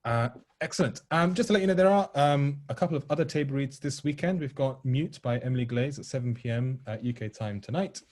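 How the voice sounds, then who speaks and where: A man's voice: medium pitch, brisk, hardly any pauses, darkish tone. A man hosting an online event informally, speaking politely and reassuringly, with frequent hesitant ums and uhs. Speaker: host of an online play table read. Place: online video call.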